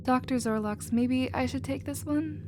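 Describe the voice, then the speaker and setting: A woman playing a gentle, emotional alien scientist in a scripted ASMR roleplay, earnest and expressive in manner. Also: high voice, moderate pace, background noise, lightly acted. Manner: soft-hearted, earnest, expressive.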